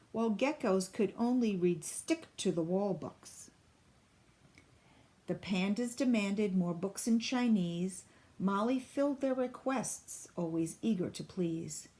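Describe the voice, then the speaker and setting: A woman reading a children's picture book aloud in an expressive, deliberate storybook style. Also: medium pitch. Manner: deliberate, clearly phrased storybook delivery.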